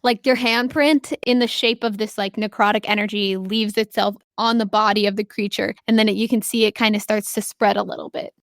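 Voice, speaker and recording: A woman's voice: mid-range voice, fairly even intonation, fairly quick, few pauses, no echo, studio quality. A woman speaking casually and encouragingly as game master, narrating a tabletop game.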